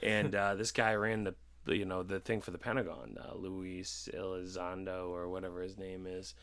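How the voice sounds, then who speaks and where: A man with a fairly low voice, speaking as a podcast host. His delivery is casual and informal, like an off-the-cuff conversation. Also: flat delivery, normal speed, fairly bright tone. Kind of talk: casual chat.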